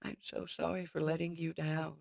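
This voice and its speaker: A woman's voice: low-pitched, flat delivery, moderate pace, creaky, a little mellow, dry recording, studio quality. A woman playing a loyal, remorseful robot warrior in a comic dub, speaking in an earnest, subdued way. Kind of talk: comic dub character acting.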